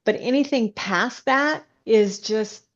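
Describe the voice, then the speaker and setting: A woman guest author chatting casually in an interview, haltingly, often thinking aloud as she explains. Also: on the high side, muffled recording. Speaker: guest science fiction author.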